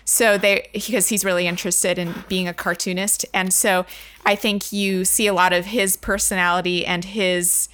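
A woman's voice: medium pitch, level tone, moderate pace, fairly bright tone, slight background noise. A woman co-hosting a book discussion, talking conversationally and searching for her words as she goes. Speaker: book discussion podcast co-host.

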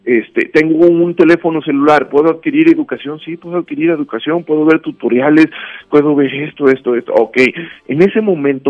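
A man's voice: fairly high pitch, phone-quality sound. A man with a Mexican accent giving a conversational motivational talk as a radio guest, teaching emphatically with anecdotes and rhetorical questions. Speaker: guest commentator on a radio segment.

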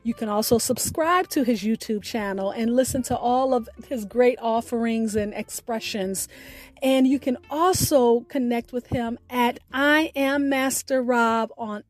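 Female podcast host, measured scripted delivery with poetic phrasing, unhurried pace, slightly high voice. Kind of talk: scripted radio show sign-off with spoken poetry.

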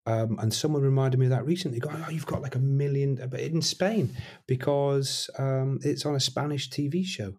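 A man's voice: medium pitch, normal speed, a little mellow. A middle-aged man, a musician being interviewed, talking casually and rambling with lots of restarts and self-deprecating asides.

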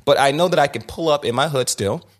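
Fast-talking young man, emphatic restarts. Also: a little high. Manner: rapid, emphatic, with many restarts and repeated words. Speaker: podcast host. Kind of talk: candid conversational storytelling.

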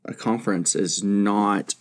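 A man hosting a casual church podcast in a fairly low voice. He talks in a chatty, rambling way and pokes fun at himself. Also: steady tone, measured pace. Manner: chatty, self-deprecating, rambling. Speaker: podcast host, children's ministry leader. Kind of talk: casual chat.

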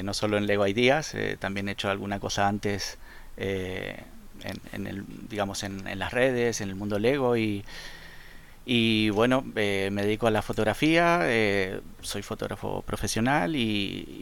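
A man's voice: lowish voice, creaky, smooth. A man in his forties with an Argentine accent blended with Spain's Spanish, hesitant and full of fillers as a podcast guest. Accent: Argentine Spanish, softened by years in Spain. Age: 40s. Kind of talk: podcast interview.

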